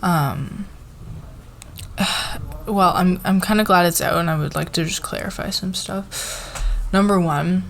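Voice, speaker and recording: A woman playing a flustered, fair-minded company boss in a casual roleplay ASMR, with a halting, informal, giggly manner. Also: on the low side, gravelly, a little hiss or hum. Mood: sheepish, awkward.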